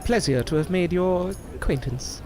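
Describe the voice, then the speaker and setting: An actor playing a proud, easily wounded older stage magician in an audio drama, with wordy, formal, theatrical diction. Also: male voice, sits high, moderate pace, noisy background, close to an everyday voice. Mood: grateful.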